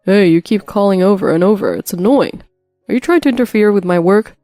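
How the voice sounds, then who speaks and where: A woman's voice: fairly low pitch, expressive, fairly quick, warm tone, no room sound, studio quality, faint music behind. A woman voicing a cold, dismissive adult husband who brushes off his wife. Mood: irritated. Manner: curt, dismissive.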